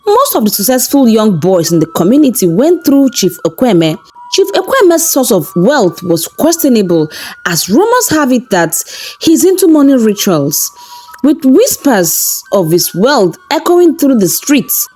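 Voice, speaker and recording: A woman narrating a scripted folktale in a dramatic, expressive storytelling manner. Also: fairly high pitch, measured pace. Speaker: storyteller, channel host. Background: background music.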